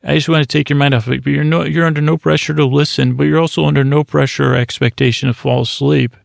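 A man's voice: fairly high pitch, steady tone, fast talker, gravelly, dry recording. A man hosting a sleep podcast, talking in a meandering, self-deprecating monologue full of restarts and asides. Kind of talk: rambling monologue for falling asleep.

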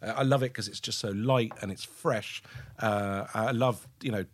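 A man's voice: lowish voice, moderate pace, slight background noise. A man who grew up in Lebanon, hosting a casual chat, talking in an enthusiastic, rambling way with many restarts. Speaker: podcast host.

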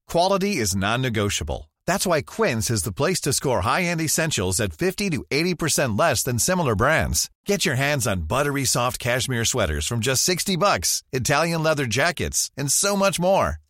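A man's voice: mid-range voice, very animated, moderate pace, few pauses, fairly bright tone, no room sound. Upbeat male ad announcer, dry studio. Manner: upbeat salesy delivery. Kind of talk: scripted ad read.

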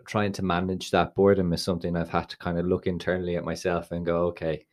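A man's voice: deep voice, level tone, fast talker. A man co-hosting a podcast, asking questions and reflecting casually on himself. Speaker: podcast co-host.

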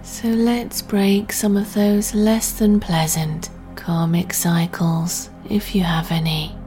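A woman hosting a guided sleep meditation, speaking in a soft, breathy, soothing and deliberate way. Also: on the low side, level tone. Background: music.

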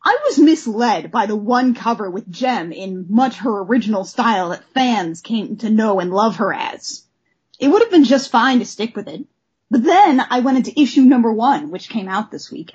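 A woman's voice: a little high, slightly rough, lo-fi sound, exaggerated voice. A woman doing a dramatic reading as an indignant long-time fan ranting in an angry letter, emphatic and scornful.

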